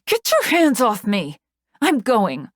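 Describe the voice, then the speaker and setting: A woman's voice: fairly high pitch, huge pitch swings, slow pace, no room sound, clean studio sound, voice pushed up, heavily acted. A woman voicing a desperate, angry young woman patient who screams and pleads. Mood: frantic.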